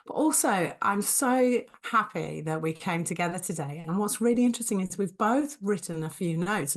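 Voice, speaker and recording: A woman co-hosting a business podcast, hoarse and husky from a cold, talking enthusiastically with her co-host. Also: mid-range voice. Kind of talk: conversational podcast chat.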